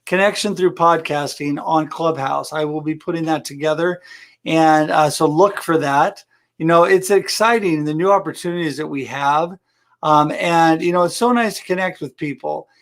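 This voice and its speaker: A man's voice: fairly high pitch, fairly even intonation, normal speed, fairly bright tone. A man hosting a live show, talking in a friendly, upbeat way with his guest and viewers. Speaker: host. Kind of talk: casual live-stream chat.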